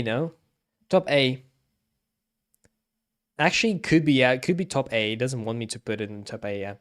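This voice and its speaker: A man giving casual livestream commentary, halting and repeating his phrases as he thinks out loud. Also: mid-range voice. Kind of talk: casual livestream commentary, ranking game cutscenes.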